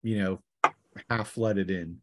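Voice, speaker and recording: A man narrating a tabletop roleplaying game as game master, improvising his descriptions with halting pauses. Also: sits low, fairly even intonation, pushed and bright.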